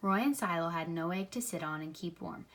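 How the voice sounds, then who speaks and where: A woman reads a picture book aloud for young children, expressively, pausing to add simple comments of her own. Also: on the low side. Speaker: storybook reader.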